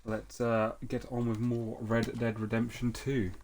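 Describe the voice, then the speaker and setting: A man's voice: medium pitch, monotone, measured pace, darkish tone, slight background noise. A young man with a cold chatting loosely while he plays a video game, rambling with lots of fillers and restarts. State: has a cold. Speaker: gaming streamer. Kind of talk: casual let's-play chat.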